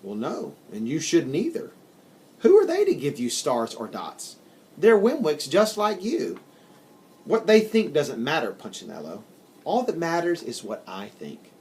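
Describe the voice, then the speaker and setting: A man voicing a kindly, big bearded woodcarver in a storybook, with a deep, strong, thoughtful and reassuring delivery. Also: wildly swooping pitch, measured pace, a little mellow, exaggerated voice. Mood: gentle reassurance. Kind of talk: storybook character reading.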